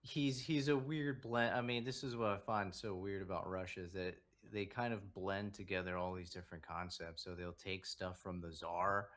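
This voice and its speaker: A man's voice: low voice, fairly even intonation, moderate pace, few pauses, a bit bright. A man hosting a casual stream chat, asking questions and rambling with lots of "like" and "right?". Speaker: stream host.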